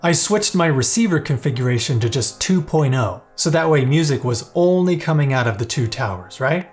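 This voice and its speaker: A man's voice: a little high, animated, normal speed, faint music behind. Enthusiastic man narrating a review. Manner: playful, enthusiastic. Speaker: product reviewer.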